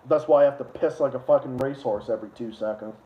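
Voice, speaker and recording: A man's voice: medium pitch, fast talker, background noise. A man co-hosting a casual livestream show, talking in a crude, rambling and repetitive way. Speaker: co-host. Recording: echoey room, dull lo-fi sound.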